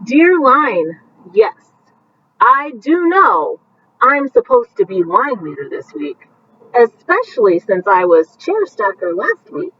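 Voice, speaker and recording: A woman reads a children's picture book aloud as a bedtime story, her pitch swinging widely as she talks about the pictures. She sits a little back from the mic. The sound is dull, with a faint hiss underneath. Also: distant mic. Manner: animated, pausing to point out pictures to young listeners.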